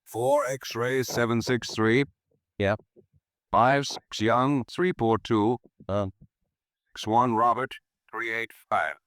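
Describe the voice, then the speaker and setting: A cocky American desk officer, with lively swings of pitch. He wisecracks in 1950s radio crime drama style. The sound is very dry. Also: slow pace, pauses often, dry recording. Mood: reading out.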